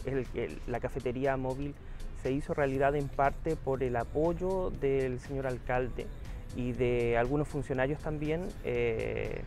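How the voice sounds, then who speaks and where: A young man, a small business owner answering interview questions outdoors, earnest and hesitant with many fillers. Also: mid-range voice. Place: outdoor town plaza.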